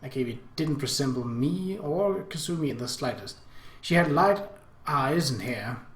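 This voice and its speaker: A man reading story text aloud as a let's play host, in an expressive narrating style. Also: mid-range voice, measured pace. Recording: echoey room.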